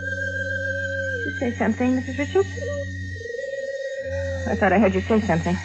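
Bitter, ruthless schemer for vintage radio melodrama. Brittle, intense, hugely animated pitch over prominent music. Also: female voice, normal speed, dull audio. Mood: defiant, bitter. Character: bitter, nervy, ruthless young woman accomplice. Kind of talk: 1940s radio mystery acting.

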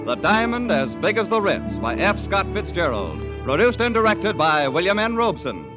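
A male announcer introduces a vintage radio drama in theatrical style. His pitch swings widely over loud music. The sound is muffled, like a 1940s broadcast. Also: sing-song, normal speed. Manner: grand, theatrical. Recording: dull old radio recording, loud music.

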